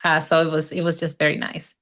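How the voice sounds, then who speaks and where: A woman's voice: sits low, monotone, quick pace, fairly bright tone. Chatty woman with a Dominican accent. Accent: Dominican Spanish. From Dominican Republic. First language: Spanish. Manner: chatty, laughs often. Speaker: guest. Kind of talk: casual interview chat.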